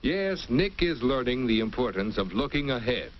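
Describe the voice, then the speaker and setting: A man's voice: mid-range voice, very animated, moderate pace, natural voice. A man narrating an old educational film in an earnest, instructive tone. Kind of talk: scripted 1950s film narration. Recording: muffled old film soundtrack with hiss.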